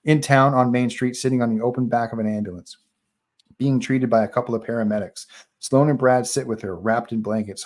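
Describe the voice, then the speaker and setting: A man's voice: mid-range voice, darkish tone. A man narrating the stage directions of a horror script at a live online table read. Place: online group call.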